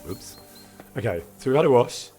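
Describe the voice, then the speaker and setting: A man streaming a game with casual, self-deprecating commentary, in a husky voice, chatting with his viewers. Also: medium pitch, lively intonation, normal speed, dark tone, soft background music.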